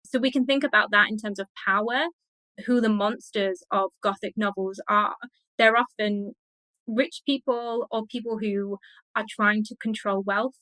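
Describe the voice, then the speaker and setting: A woman's voice: medium pitch, steady tone, unhurried, pushed and bright, no echo, poor audio. A woman speaking as an expert interview guest, explaining in a conversational, scholarly and enthusiastic way.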